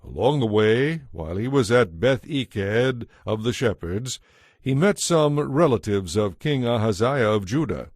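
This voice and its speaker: A man's voice: medium pitch, animated, measured pace, darkish tone, no room sound, clean studio sound. A man giving scripted narration for a dramatized audio Bible.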